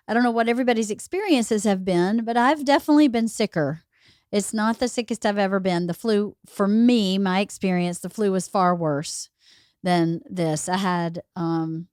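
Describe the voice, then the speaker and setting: A woman podcast host telling a chatty, rambling story in casual conversation, her voice a little hoarse. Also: medium pitch, a little mellow, no room sound, studio quality. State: slightly hoarse, losing her voice. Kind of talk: casual chat.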